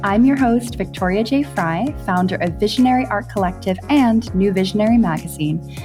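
A woman's voice: mid-range voice, lively intonation, normal speed, dark tone, faint music behind. A woman hosting an interview podcast in an effusive, emphatic, enthusiastic way. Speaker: podcast host.

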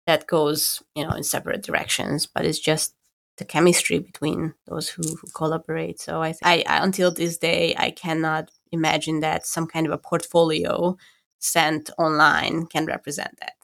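Low gravelly Hungarian-accented woman. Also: fairly even intonation, normal speed, a bit bright. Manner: thoughtful, searching for words with restarts. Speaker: podcast co-host. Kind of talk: casual conversation. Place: at home.

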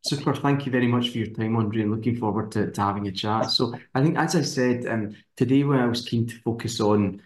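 A man hosting an interview podcast, politely introducing his guest and then asking relaxed questions. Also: mid-range voice, a little fast, few pauses. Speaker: podcast host. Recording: echoey room.

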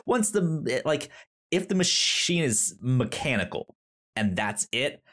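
Male co-host, thoughtful and halting, very animated intonation. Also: medium pitch. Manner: thoughtful, halting, many restarts. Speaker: podcast co-host. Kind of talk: casual chat.

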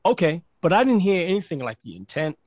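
Guy from barbados rambling on a podcast, kinda high voice, dead dry sound. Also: fast talker, no echo. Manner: animated storyteller, repeats words and says "like" often. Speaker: podcast guest. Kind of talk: casual interview chat.

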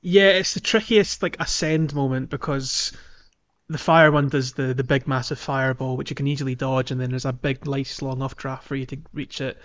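A man's voice: mid-range voice, steady tone, moderate pace, fairly bright tone. A man hosting a casual gaming podcast, talking in a rambling, explanatory way. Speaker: podcast host. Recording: dull lo-fi sound.

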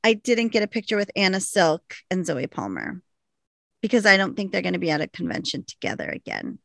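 A woman's voice: lowish voice, very animated, a little fast, gravelly, a bit bright, no room sound, clean studio sound. A woman co-hosting a podcast in casual chat, reading out a listener's email and joking with her co-host. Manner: laid-back, laughing, self-teasing.

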